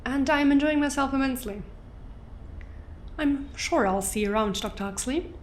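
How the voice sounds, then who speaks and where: A woman's voice: high voice, moderate pace, a little hiss or hum, lightly acted. A woman playing an unrepentant, smugly amused exiled doctor in an audio drama, articulate and theatrical with a sardonic edge. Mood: smug, defiant.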